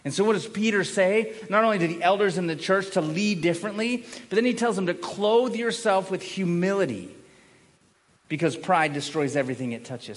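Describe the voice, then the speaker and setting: A man preaching a sermon as a pastor, speaking emphatically, repeating key phrases and posing rhetorical questions. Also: high voice. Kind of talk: sermon to a congregation. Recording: echoey hall with long reverb.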